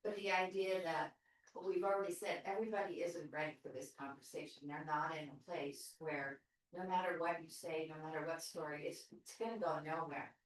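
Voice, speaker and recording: A woman with a lower voice shares her thoughts slowly in a group discussion, pausing and restarting as she works things out. She is heard from across a very echoey room. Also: little pitch movement, far from the mic. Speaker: group participant.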